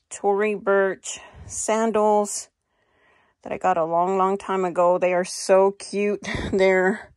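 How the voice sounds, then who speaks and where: A woman's voice: mid-range voice, darkish tone, dry recording, clean studio sound. A middle-aged woman with an American accent, chatting casually to viewers as a fashion vlogger in an unscripted way. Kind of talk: casual haul and try-on chat. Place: small indoor walk-in closet. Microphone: handheld phone.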